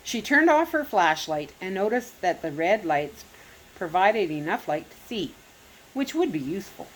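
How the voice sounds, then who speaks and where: Lively woman reading a storybook aloud. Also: medium pitch, measured pace, slightly rough, low-quality recording. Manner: expressive read-aloud with lively rises on exclamations; occasional self-corrections. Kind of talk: reading a children's chapter book aloud.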